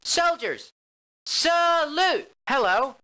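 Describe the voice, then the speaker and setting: A high, barking drill instructor. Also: female voice, very animated, extremely slow, bright, forceful tone, exaggerated voice. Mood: officious. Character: stiff, gossipy army drill instructor.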